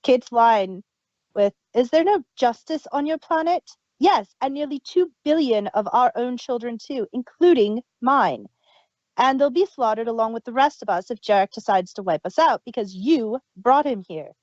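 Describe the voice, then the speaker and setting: A woman's voice: a little high, animated, normal speed, a little mellow, dry recording, dull audio. A woman co-hosting an audio drama review podcast, talking in a thoughtful, earnest way. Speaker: podcast co-host. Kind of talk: casual review chat.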